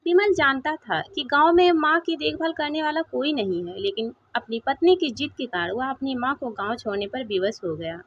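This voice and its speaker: A woman reading a sentimental moral story aloud in an expressive, dramatic way. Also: sits high, normal speed, hardly any pauses, a little hiss or hum. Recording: dull, lo-fi sound.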